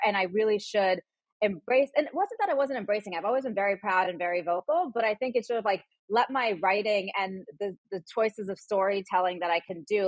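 A woman in her forties, a journalist, chatting quickly as a podcast guest, full of "like" and "you know". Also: mid-range voice, no echo, poor audio. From United States. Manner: quick, chatty storytelling with frequent "like". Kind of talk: casual interview conversation.

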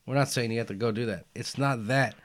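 A young man in casual conversation, emphatic and animated, dropping "man" and "dude" as he argues his point. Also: medium pitch, fast talker. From United States, family from Albania. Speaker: podcast guest. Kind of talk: casual chat.

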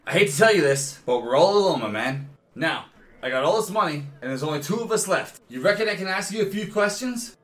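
A man's voice: fairly high pitch, lively intonation, fairly quick, fairly bright tone. A man playing a brash swaggering outlaw. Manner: blunt, brash.

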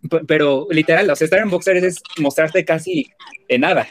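A man with a Mexican accent conducting a casual interview, working toward his questions in a halting, roundabout way. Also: a little high, a little hiss or hum. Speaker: magazine interviewer.

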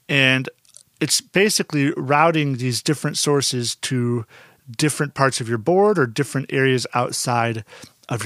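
A man with a naturally dark voice, giving a relaxed, conversational lesson with plenty of fillers and restarts. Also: medium pitch, no echo, studio quality. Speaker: audio instructor. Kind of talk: casual explanatory lesson.

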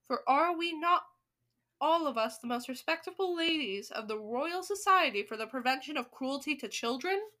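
Woman reading as imperious Grand High Witch, bright-voiced. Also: expressive, moderate pace. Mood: commanding, haughty. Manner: commanding, haughty. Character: imperious, scheming female leader of witches.

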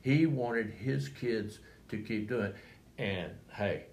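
An older man, a retired coach, talking in a rambling, halting way with many restarts. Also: on the low side, darkish tone, a little hiss or hum. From Texas. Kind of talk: reflective interview talk.

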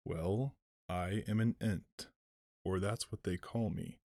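A man voicing an ancient, slow-spoken male tree giant with a deep, humming voice. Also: warm tone, no room sound, studio quality, exaggerated voice. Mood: slow ponderous. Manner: slow, ponderous, murmuring.

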